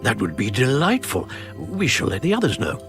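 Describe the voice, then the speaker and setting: A man's voice: mid-range voice, wildly swooping pitch, moderate pace. A man voicing a courteous, formal older headmaster in a game cutscene. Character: genial older male headmaster. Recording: game cutscene with soft music.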